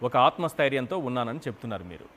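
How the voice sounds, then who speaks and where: A man's voice: medium pitch, expressive, rapid-fire, crowd noise. A man working as an interviewer, putting questions in a conversational way.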